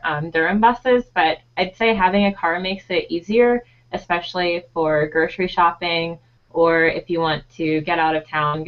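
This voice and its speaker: A woman's voice: on the low side, highs cut off. A woman in her twenties from Delaware, a graduate student on a live Q&A panel, chatty and searching for words with "um" and "like".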